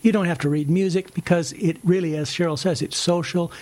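A man in an interview, explaining his music club in a friendly, relaxed way. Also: on the high side, fairly quick. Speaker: ukulele club member. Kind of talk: casual interview chat.

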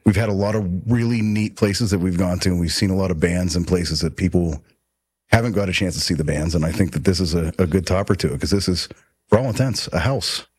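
Husky low-voiced man in his forties. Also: brisk, a little mellow, no room sound. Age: 40s. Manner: rambling, friendly, many restarts. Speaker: podcast host. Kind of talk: casual interview. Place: living room of a house.

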